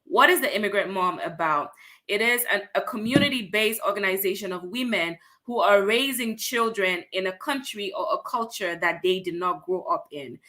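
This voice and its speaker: A woman's voice: mid-range voice, moderate pace, sharp tone. A woman from Cameroon hosting an online talk show, welcoming viewers and guests with enthusiastic, upbeat energy. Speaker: host.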